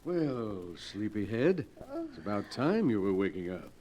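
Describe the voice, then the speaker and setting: A man's voice: on the low side, sing-song, measured pace, darkish tone, dull audio, faint music behind. An actor playing a middle-aged, soothing father in an old-time radio drama. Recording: noisy old radio recording.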